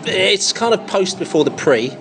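Male pub-podcast host, London English accent, slightly high voice, bantering. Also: expressive, normal speed, over music. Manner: jokey, bantering. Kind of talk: casual pub chat. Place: busy pub.

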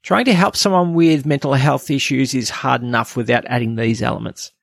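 A man's voice: mid-range voice, fairly even intonation, a little fast, vocal fry, dry recording, clean studio sound. A man with an Australian accent hosting a podcast, reading a scripted review. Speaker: podcast host.

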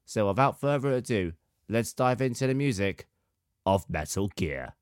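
A man in his thirties, slightly hoarse with a sore throat, hosting a podcast in a measured, articulate, scripted narration style. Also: fairly low pitch, lively intonation, faint music behind. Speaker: podcast host, composer.

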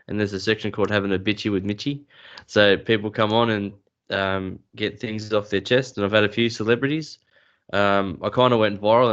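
A man's voice: on the low side, fairly bright tone, clean tone, highs cut off. An Australian man being interviewed on a podcast, talking casually with dry, self-deprecating humour. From New South Wales, Australia. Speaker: podcast guest.

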